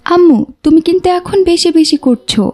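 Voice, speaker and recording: A woman's voice: very high-pitched, moderate pace, warm tone. A woman voicing an outspoken, cheerful teenage daughter in an Islamic cartoon, frank and girlish. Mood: protesting.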